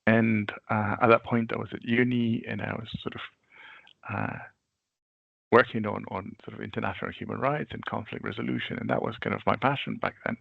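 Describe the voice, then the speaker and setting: Man in his forties, a deep voice with heavy fry, husky, calm and reflective as a webinar guest, dull lo-fi call audio. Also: dry recording. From Venezuela. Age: 40s. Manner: measured, reflective storytelling. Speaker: guest expert. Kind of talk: webinar interview answer. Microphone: video call.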